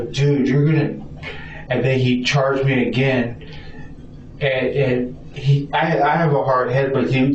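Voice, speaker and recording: A man haltingly recounts an incident in a police interview, stammering, repeating himself and acting out dialogue in fragments. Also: mid-range voice. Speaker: suspect being questioned by police. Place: indoor police interview room. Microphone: distant room recording. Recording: echoey room, distant muffled mic, noisy.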